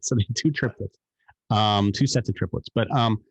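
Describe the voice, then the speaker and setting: New man co-hosting a podcast, fairly low voice, talks in quick animated rambles. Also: breathy edge, no room sound, poor audio. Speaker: podcast co-host. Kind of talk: casual podcast chat.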